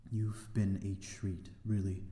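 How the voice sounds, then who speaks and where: Man playing a deep, mellow, gentlemanly vampire. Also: level tone, takes it slowly, roomy sound. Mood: tender, grateful. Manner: genteel, formal, hesitant, old-fashioned wording. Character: courteous, lonely male vampire lord of a manor. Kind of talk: ASMR audio roleplay, amateur drama.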